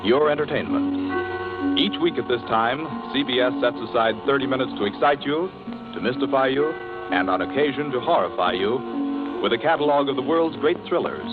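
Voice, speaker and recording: Male announcer, lively dramatic reading, tinny broadcast sound, loud music bed. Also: medium pitch, normal speed. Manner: dramatic, emphatic. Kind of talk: 1940s radio announcing. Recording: lo-fi old radio broadcast with music.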